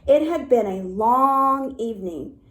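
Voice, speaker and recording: A woman reading a story aloud to young children in an expressive way, with little asides to her listeners. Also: fairly high pitch, slow pace. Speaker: storytime reader for a dance academy.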